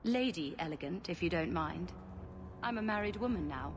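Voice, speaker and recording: An actress voicing a genteel married potion seller in a video game, polite, poised and a little coy. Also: female voice, fairly low pitch, lively intonation, moderate pace, long reverb tail, music in the background. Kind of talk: video game character dialogue.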